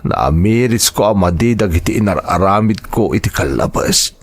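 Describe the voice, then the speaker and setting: A man playing an ailing, remorseful old uncle in a family audio drama, with a husky, weary voice and a halting, ashamed manner. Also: low voice, expressive. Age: older.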